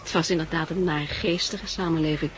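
An actress playing an affectionate, level-headed female survivor in a 1960s Dutch radio drama, gentle and matter-of-fact, with a Netherlandic accent. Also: deep voice, steady tone, fairly quick, lightly acted. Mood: pensive. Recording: noisy old 1960s radio recording.

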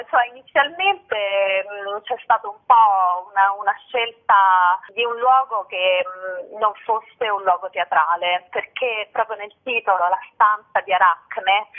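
A woman actress answering interview questions about her stage work, thoughtful and hesitant, with many fillers and restarts. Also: medium pitch. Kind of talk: casual spoken interview. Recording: phone-like narrow sound.

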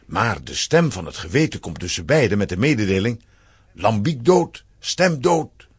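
A man narrating a scripted children's audio play as an expressive storyteller. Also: lowish voice, moderate pace, husky, no echo.

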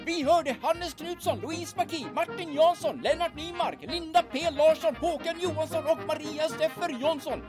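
A woman announcer reads scripted end credits. Her voice is very high and sits over clearly audible music. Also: few pauses. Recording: music behind the voice.

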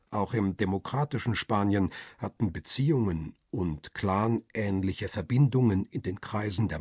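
Husky, low-voiced jailed crime-drama narrator. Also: male voice, no room sound, studio quality. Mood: tense, observant. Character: jailed male paparazzo narrating his ordeal, wary, uneasy. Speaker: narrator and lead actor. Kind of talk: radio crime drama, first-person narration.

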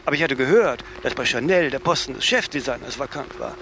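An actor playing an imperious, self-mythologizing older fashion designer in a German radio play, speaking haughtily in aphorisms and tagging sentences with "ne?". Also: male voice, medium pitch, expressive, fast talker, sharp tone, a little hiss or hum. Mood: grand, reminiscing.

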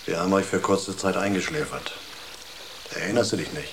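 A man playing an unruffled, reassuring spaceship crewman in a German sci-fi radio drama, terse and even-tempered. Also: sits low, brisk, reverberant, noisy background. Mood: matter-of-fact.

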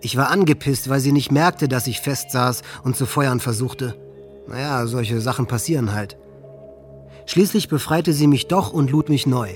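A man's voice: mid-range voice, moderate pace, natural voice. Man playing a bitter, vengeful teenage boy, music behind. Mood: darkly sardonic. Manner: bitter, boastful, profane. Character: hate-filled, resentful teenage boy plotting revenge. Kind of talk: German radio docudrama monologue.

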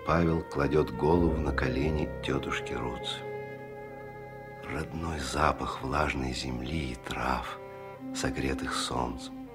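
A man narrating a 1970s Soviet radio drama in a measured, literary storytelling manner. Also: very deep voice, fairly even intonation. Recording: loud music under the voice.

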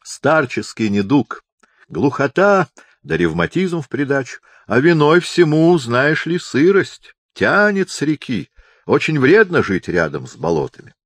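Man playing a loud, high-pitched, deaf old chaplain. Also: big pitch swings, measured pace, no echo, heavily acted. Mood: rambling, amiable. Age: older. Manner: loud shouting of a hard-of-hearing man. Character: deaf, kindly, oblivious old chaplain, bellowing cheerfully. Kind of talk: audiobook character voice.

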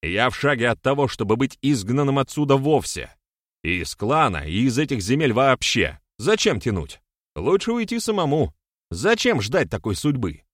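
A man's voice: mid-range voice, very animated, moderate pace, no room sound, clean studio sound, voice pushed up. A man voicing a fiery defiant warrior, wide swings. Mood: furious, accusing. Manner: defiant, sarcastic, declamatory. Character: hot-tempered defiant young warrior-sorcerer, a man quick to rage.

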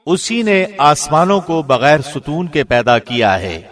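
A man reading a scripture translation from a script in a formal, measured, clearly enunciated way. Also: fairly high pitch, very animated, fairly bright tone. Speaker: translation reader, radio host.

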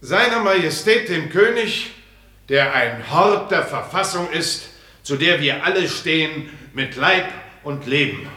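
An actor playing an earnest, pompous small-town mayor giving a declamatory speech in a radio play. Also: male voice, fairly high pitch, lively intonation, measured pace, sharp tone, a little hiss or hum. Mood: solemn, patriotic. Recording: echoey, roomy speech acoustic.

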